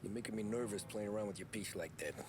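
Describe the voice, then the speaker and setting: An actor playing a cautious, uneasy mobster who talks in a wary, doubtful voice. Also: male voice, fairly low pitch, quick pace, heavy background noise, lightly acted. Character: cautious, uneasy male mob driver. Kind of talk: video game cutscene acting. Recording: game cutscene under very loud score.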